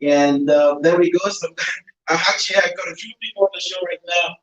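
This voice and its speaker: A man hosts a live online interview in a slightly high-set voice. His delivery is halting, and he often repeats himself. He sounds distant from the microphone, in an echoey, poor-quality recording. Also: lo-fi sound. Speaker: talk show host. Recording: roomy echo, distant mic, poor sound.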